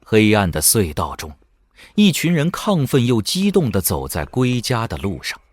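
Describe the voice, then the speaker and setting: A man's voice: lowish voice, huge pitch swings, moderate pace, breathy edge, no echo. A man giving dramatic scripted narration for an audio drama. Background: faint music.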